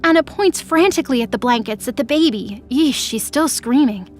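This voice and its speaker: A woman's voice: sits high, expressive, moderate pace, faint music behind. A woman reading scripted dramatic narration for an audio story.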